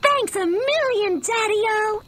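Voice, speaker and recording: A woman's voice: very high-pitched, slow, a bit bright, a little hiss or hum, exaggerated voice. A woman with an American accent playing a bored, dreamy 1950s small-town teenage girl in a video game, full of swooning melodrama. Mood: admiring.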